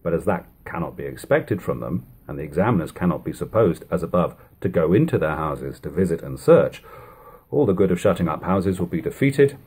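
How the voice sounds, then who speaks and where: A man reads an old literary narrative aloud in a measured, formal, dramatic way at home. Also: low-pitched, big pitch swings, a little mellow, husky. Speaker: reader. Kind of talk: audiobook-style reading of an old literary narrative. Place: living room at home. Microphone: webcam or device camera at about arm's length.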